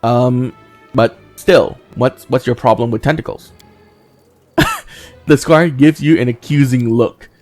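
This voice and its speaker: A man's voice: medium pitch, slow, long pauses, darkish tone, soft background music. A man hosting a game playthrough, casually commenting and reading the story text aloud. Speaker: gaming video host.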